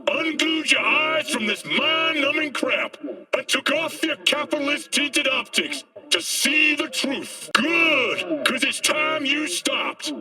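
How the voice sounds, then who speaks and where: A man's voice: extremely high pitch, expressive, recorded from a distance, over music, exaggerated voice, rough recording. A man playing a fiery, paranoid anti-corporate street prophet in a video game, haranguing people in a declamatory protest rant. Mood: rousing, defiant. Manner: declamatory, haranguing, drawn-out emphasis. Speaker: street agitator, conspiracy preacher. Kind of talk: ranting protest speech, video game acting.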